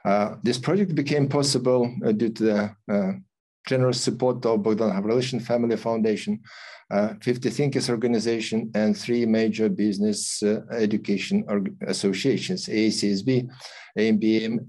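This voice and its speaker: Older man hosting with a halting delivery. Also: on the low side, dark tone. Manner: halting, many fillers and restarts. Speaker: host introducing a guest. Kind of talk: formal introduction. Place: office with logo backdrop. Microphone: video call.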